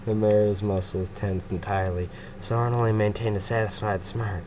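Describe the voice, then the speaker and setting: A young man with a very low voice reads fanfiction aloud, tripping on words and cursing at his own slips. Steady background noise hangs under the recording. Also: moderate pace. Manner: stumbling, swearing, laughs at own slips. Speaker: fanfiction reader, narrator. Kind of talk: amateur fanfiction reading and banter.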